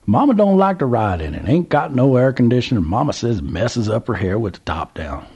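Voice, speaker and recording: A gleeful old Southern gentleman, put on by a storytelling man, whose drawl leaps high and swoops wildly. The voice is husky and a bit muffled, like a lo-fi recording. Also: big pitch swings, brisk. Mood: excited. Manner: drawling, exclaiming, high and squeaky. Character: excited old Southern man, toothless, chatty.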